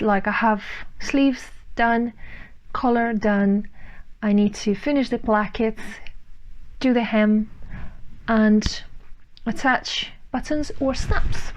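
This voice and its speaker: A woman's voice: mid-range voice, a little mellow, highs cut off. A young woman, a native Russian speaker from Ukraine, chatting casually on her sewing vlog and thinking aloud with fillers and restarts. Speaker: sewing vlogger.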